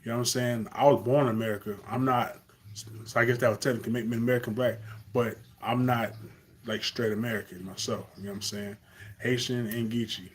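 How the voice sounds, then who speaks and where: A man's voice: mid-range voice, fairly even intonation, a little fast, slight background noise. A young man from Carolina with a Southern accent, talking earnestly off the cuff in a live stream monologue, with fillers and restarts.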